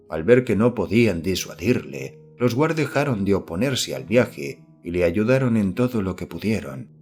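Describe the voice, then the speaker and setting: A man reading a literary horror story as an audiobook narrator, in measured, formal scripted narration. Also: fairly low pitch, darkish tone.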